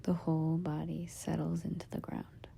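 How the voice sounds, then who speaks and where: A woman guiding a meditation as a yoga nidra teacher, in a soft, breathy, husky half-whisper, giving gentle, soothing instructions in short phrases with pauses. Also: low-pitched, completely flat delivery, a little hiss or hum. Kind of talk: guided meditation.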